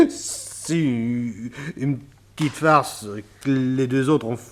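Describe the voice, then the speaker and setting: A man's voice: mid-range voice, fairly bright tone. An actor playing a nervous, sheepish man in a detective radio drama, stammering and repeating his words as he hesitates.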